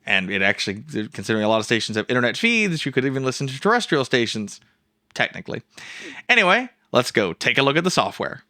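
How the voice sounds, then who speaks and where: Bright-voiced man giving a casual software walkthrough. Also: medium pitch, big pitch swings, fast. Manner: informal, jokey, rambling. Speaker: host of a software review show.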